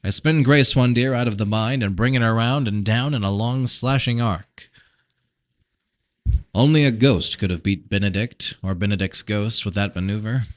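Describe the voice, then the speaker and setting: A man reading a fantasy novel as scripted narration, with dramatic, measured delivery. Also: on the low side, dry recording. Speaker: audiobook reader.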